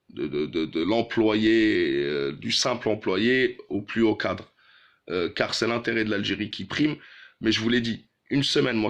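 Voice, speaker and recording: A man from Algeria giving unscripted, outspoken political commentary to his followers, in a halting, opinionated way with phrases repeated for emphasis. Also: fairly low pitch, fairly bright tone.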